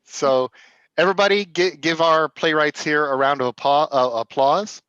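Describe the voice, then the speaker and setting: A man's voice: on the high side, fairly bright tone, clean tone, dry recording. Casual, stumbling male host for a live theater stream; dry, dull call audio. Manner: halting, many restarts and fillers, laughs often. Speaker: host of a theater company's reading. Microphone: video call.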